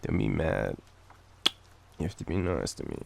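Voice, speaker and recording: A young Australian man with a cold, coughing now and then, murmuring softly and teasingly in an intimate boyfriend roleplay. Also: extremely low pitch, sing-song, normal speed, vocal fry, a little hiss or hum. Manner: soft, drowsy, teasing murmur.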